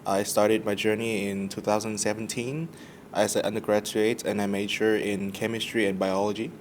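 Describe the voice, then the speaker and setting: Low-voiced young Vietnamese man, noisy recording. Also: level tone, moderate pace. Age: 20s. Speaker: graduate student guest. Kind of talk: casual interview answers.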